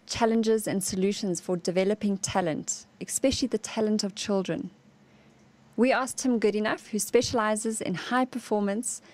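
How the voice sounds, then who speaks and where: Woman, talk show host, scripted intro, clear presenter delivery, polished. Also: medium pitch, a little mellow. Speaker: podcast host.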